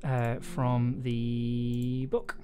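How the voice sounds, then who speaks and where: Young male streamer, tired and hesitant, casual think-aloud chat, medium pitch, music in the background. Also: completely flat delivery, drawn-out words, mellow. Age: young adult. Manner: rambling, hesitant, self-interrupting. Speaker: livestream host, audio drama maker. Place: small indoor room at a desk.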